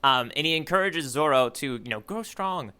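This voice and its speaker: Bright-voiced man chatting on a podcast. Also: a little high, lively intonation. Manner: halting, peppered with "you know". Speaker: podcast co-host recapping a manga chapter. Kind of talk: casual chat, loosely recapping a story.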